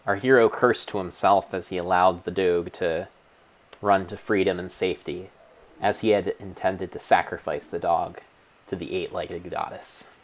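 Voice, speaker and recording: Male narrator, low register, theatrical and grave, reading gameplay as an epic tale. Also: fairly even intonation. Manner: theatrical, solemn, mock-epic delivery with drawn-out words. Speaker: streamer narrating own gameplay. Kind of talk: dramatic storybook narration of a video game.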